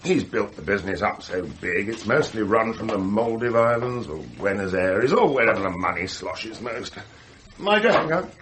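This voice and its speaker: An actor playing a flippant, self-satisfied wealthy heir in a radio drama, chatty and offhandedly entitled. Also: male voice, fairly low pitch, animated, normal speed, fairly bright tone, slight background noise. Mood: breezy, cynical.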